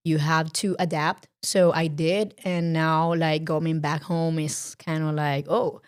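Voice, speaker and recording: A young Colombian woman speaking English with a Colombian Spanish accent, talking in a halting way with many fillers as she searches for words in a casual interview. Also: low-pitched, flat delivery, clean tone. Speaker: podcast guest, filmmaker.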